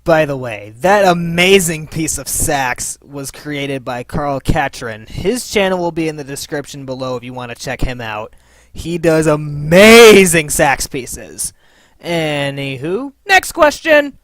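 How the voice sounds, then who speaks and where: Woman doing a casual q&a, lively up and down delivery, playful and sweary, dry close recording. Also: deep voice, unhurried, no room sound. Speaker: host and voice actor of a fan abridged series. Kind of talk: casual scripted Q&A narration.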